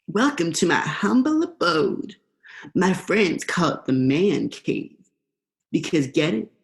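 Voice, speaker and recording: A woman's voice: fairly low pitch, big pitch swings, takes it slowly, gravelly, exaggerated voice. A young woman in a student radio play, playing a laid-back, vengeful male eel with a casual, slangy drawl. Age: young adult.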